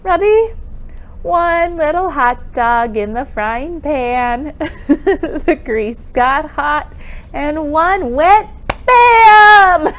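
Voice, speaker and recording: A woman's voice: high-pitched, fairly bright tone. A woman presenting an interactive storytime for young children, with counting songs and exaggerated exclamations and questions.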